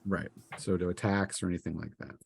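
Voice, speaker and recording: A man acting as game master, explaining rules and turns in a casual, halting way. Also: sits low, little pitch movement, gravelly, breathy edge. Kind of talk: casual tabletop game refereeing.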